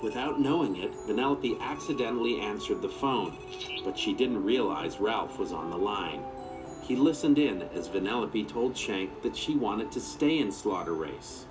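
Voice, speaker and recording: A man's voice: lowish voice, expressive, moderate pace, music in the background, low-quality recording. A man reading a children's story aloud as its narrator.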